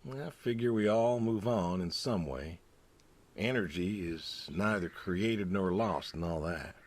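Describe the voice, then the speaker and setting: A man's voice: sits low, unhurried, darkish tone, a little hiss or hum. A man playing an aging, wistful, plainspoken pig farmer, terse and folksy in naturalistic video game dialogue. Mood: thoughtful, earnest.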